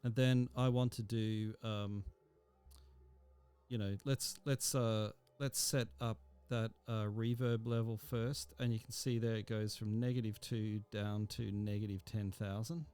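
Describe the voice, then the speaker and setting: Casual male software instructor, mellow voice. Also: fairly low pitch, little pitch movement, unhurried, clean tone. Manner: informal, thinking aloud while working. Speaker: instructor giving a software tutorial. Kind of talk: casual hands-on screen tutorial.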